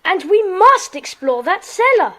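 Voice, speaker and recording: Keen, very high-voiced schoolgirl sleuth. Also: very animated, a little slow, a bit bright, exaggerated voice. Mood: earnest. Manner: earnest, questioning. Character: keen, inquisitive schoolgirl amateur detective. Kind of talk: children's audio drama acting.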